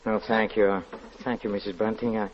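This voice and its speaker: A man acting a low-voiced, secretive, halting lodger. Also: little pitch movement, close to an everyday voice. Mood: timid, polite. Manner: halting, hesitant, formally polite. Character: soft-spoken, secretive, nervous male lodger, quietly menacing. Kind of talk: 1940s radio drama acting. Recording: muffled, noisy 1940s radio recording.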